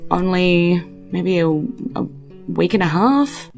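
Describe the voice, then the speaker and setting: A woman's voice: on the low side, expressive, slow pace, creaky, darkish tone, faint music behind, full character voice. A woman playing a laid-back, naive young female farm guard in improvised tabletop roleplay, talking in a hesitant, vague, rambling way. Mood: casual, unsure.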